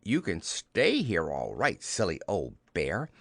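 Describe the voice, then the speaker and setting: A man voicing a kind, calm, practical young storybook boy with a gentle, reassuring manner. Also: low-pitched, slow pace, gravelly, slightly rough, dry recording, pitched below the performer's own voice, heavily acted.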